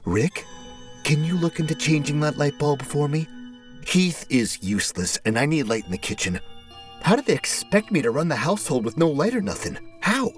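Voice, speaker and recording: A man's voice: on the high side, big pitch swings, normal speed, soft background music, voice pushed up. A man voicing a flirty, chatty woman neighbor who complains in a theatrical, nagging, exclamatory way. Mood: chatty, insistent.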